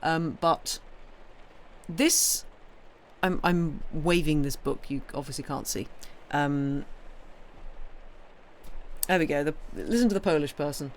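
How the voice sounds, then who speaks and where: A woman's voice: deep voice, takes it slowly, pauses often, low-quality recording. British female streamer, informal and playful, meandering self-interrupting chat, slight background noise. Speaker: livestream host and reader. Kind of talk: casual livestream chat.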